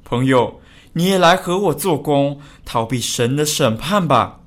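Casting: male actor as earnest old prophet, high-pitched voice, solemn and exhorting, Bible radio-play style. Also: lively intonation, measured pace. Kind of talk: dramatized Bible story.

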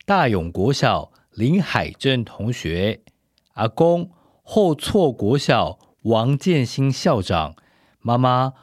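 Male announcer, measured pace, expressive intonation, dry sound, scripted list. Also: mid-range voice, no echo. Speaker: credits announcer. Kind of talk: scripted credits read-out.

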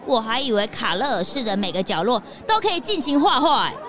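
A woman voicing an excitable, naive and curious young cartoon sidekick in a children's detective radio drama, with wide-eyed, exclamatory reactions. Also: on the high side, brisk, a crowd in the background. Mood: curious, pouty.

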